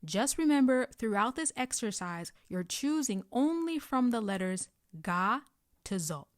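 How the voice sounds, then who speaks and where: Slow-paced woman tutor, dry sound. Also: on the high side, expressive, no room sound. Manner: clear instructional delivery, carefully enunciating example sounds. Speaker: Japanese language tutor. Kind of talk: scripted lesson narration, guided pronunciation practice.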